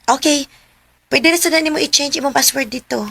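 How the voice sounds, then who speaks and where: A woman's voice: high-pitched, brisk, vocal fry, bright, forceful tone, no room sound, exaggerated voice. A woman acting a breezy, teasing teenage schoolgirl friend in a radio drama, with a Cebuano accent. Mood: helpful, breezy.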